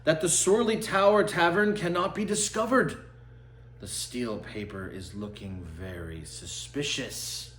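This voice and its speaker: Man putting on a pompous wizard investigator, pitched high. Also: a little slow, a bit bright, roomy sound, slight background noise. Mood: suspicious. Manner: pompous, declamatory. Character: earnest, self-important wizard investigator declaring his findings.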